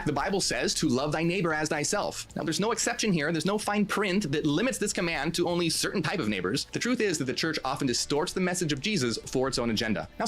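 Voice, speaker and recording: A man's voice: on the high side, fairly bright tone. A man presenting scripted explanatory narration, posing emphatic rhetorical questions. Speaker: video presenter. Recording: music bed under the voice. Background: background music.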